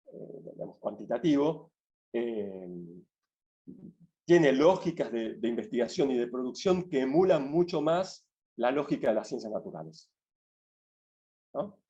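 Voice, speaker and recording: A man giving an academic talk over a video call, measured and full of restarts. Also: mid-range voice, mellow, highs cut off. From Argentina. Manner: measured, many restarts and fillers. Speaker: guest speaker.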